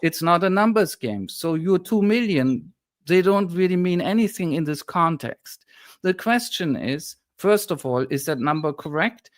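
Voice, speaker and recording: A man's voice: on the high side, expressive, unhurried, no room sound, studio quality. A man with a German accent, speaking as a historian guest in a political discussion, emphatic and lecturing, at times indignant.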